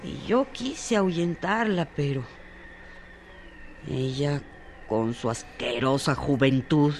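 A woman's voice: mid-range voice, a little hiss or hum. A woman playing a bitter, ailing, ageing woman in a radio drama, theatrical and venomous.

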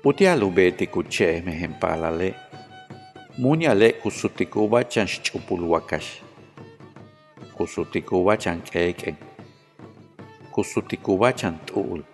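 Slow, deep-voiced man reciting poetry. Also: soft background music. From Yucatán, Mexico. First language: Yucatec Maya. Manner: calm, measured recitation. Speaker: poet and teacher.

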